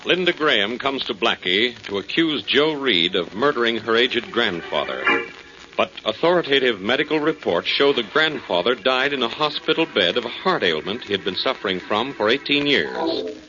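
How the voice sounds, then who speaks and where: A man's voice: medium pitch, sing-song, normal speed. A man narrating a scripted recap as a 1940s radio drama announcer. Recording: muffled 1940s radio recording. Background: loud music.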